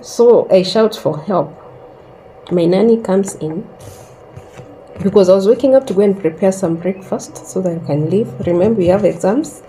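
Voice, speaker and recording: A woman in her thirties slowly telling a personal story in a calm, reflective way, pausing often. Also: medium pitch, slight background noise, low-quality recording. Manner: slow and reflective, with long pauses. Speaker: host sharing a personal story.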